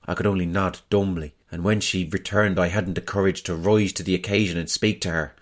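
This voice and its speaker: Deep-voiced actor as young wartime soldier diarist. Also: male voice, steady tone, fairly quick, close to an everyday voice. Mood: wistful, smitten. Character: young British army signals soldier writing a wartime diary. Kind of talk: dramatised diary reading.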